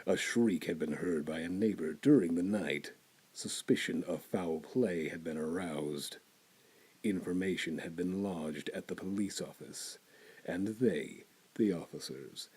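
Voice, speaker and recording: A man giving a theatrical reading of a classic horror tale, dropping to hushed whispers and building to intense outbursts. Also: low voice, unhurried, breathy edge. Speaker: storyteller.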